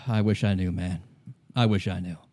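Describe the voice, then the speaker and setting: A man's voice: sits low, steady tone, unhurried, warm tone, no echo, clean studio sound, voice pushed down. A man voicing a boozy, downcast but grateful gang leader, talking in a matey, sighing way. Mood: weary, grieving.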